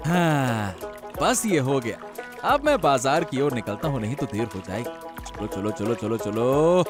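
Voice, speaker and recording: A man's voice: mid-range voice, sing-song, unhurried, over music. A man voicing a busy, good-natured village fisherman in a cartoon story, repeating himself as he goes. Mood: busy, content. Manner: repetitive, placating, calling out.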